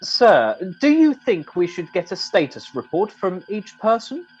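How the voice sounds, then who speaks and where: A man's voice: sits high, huge pitch swings, unhurried, husky, dull audio, soft background music, full character voice. A man playing a polite, mild-mannered middle-aged sergeant in an amateur comedy radio play, courteous and hesitant.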